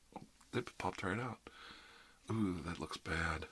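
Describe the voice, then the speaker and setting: Low voiced guy doing asmr dentist roleplay, wry casual rambling, bit of background noise. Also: slow pace, fairly bright tone. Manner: wry, conversational, reassuring patter to a patient. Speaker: ASMR performer playing a dentist.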